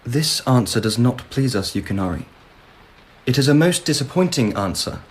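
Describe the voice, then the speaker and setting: An actor playing an imperious, whimsical emperor in a radio drama, with pompous, regal delivery. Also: male voice, medium pitch, animated, normal speed, natural voice. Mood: imperious displeasure. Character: imperious, whimsical, easily swayed Japanese emperor.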